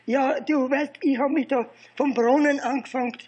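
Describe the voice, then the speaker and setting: An elderly man with an Austrian accent and a husky voice, telling his recollections informally in a halting, digressive way with repeated phrases. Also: very high-pitched, fairly even intonation, a little mellow, highs cut off. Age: 70s or older. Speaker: interviewee recounting political and research past. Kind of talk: informal oral history storytelling.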